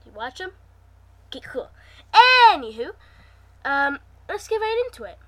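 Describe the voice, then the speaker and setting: A woman's voice: extremely high pitch, very animated, a little slow, a bit bright. A woman hosting her own channel, chatting informally and reading a fan story aloud in an amateur, casual way.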